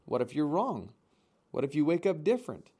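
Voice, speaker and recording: A man voicing an anxious young male gosling, quick and worried. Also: on the high side, big pitch swings, dark tone, breathy edge, pitched above the performer's own voice.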